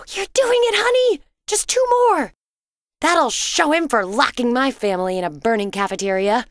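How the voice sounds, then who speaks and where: A woman's voice: high-pitched, expressive, moderate pace, dry recording. An actress voicing a caring, exasperated wife and mother in a video game, speaking expressively and earnestly. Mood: alarmed, urgent.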